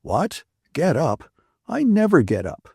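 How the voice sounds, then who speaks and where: A man's voice: huge pitch swings, slow, a little mellow, husky, no echo, studio quality, full character voice. A man doing a wisecracking, mock-lecturing young man. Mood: teasing. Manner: mock-lecturing, bantering, high and lilting. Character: teasing, wisecracking young man, playful and mocking. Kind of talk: audiobook character voice.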